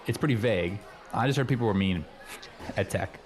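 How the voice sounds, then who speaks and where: Chatty, low-voiced schoolboy played by a man. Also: brisk, a little hiss or hum, close to an everyday voice. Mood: nosy. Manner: rambling, casual, earnest. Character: chatty, nosy, friendly teenage schoolboy showing newcomer around. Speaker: improv comedy performer. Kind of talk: improvised comedy dialogue, naturalistic casual chat.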